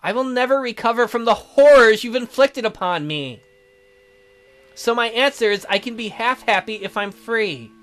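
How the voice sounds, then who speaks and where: Man putting on a high, indignant captive boy. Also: expressive, normal speed, fairly bright tone. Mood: bitter. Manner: indignant, accusing, theatrical. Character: bitter imprisoned youth stuck in a child's body, sarcastic, resentful. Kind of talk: visual novel character read-aloud.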